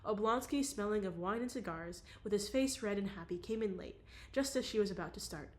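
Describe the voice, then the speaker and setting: A woman's voice: mid-range voice, fairly quick, darkish tone. A young woman reading a novel aloud, with casual, chatty asides in between. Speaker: audiobook reader.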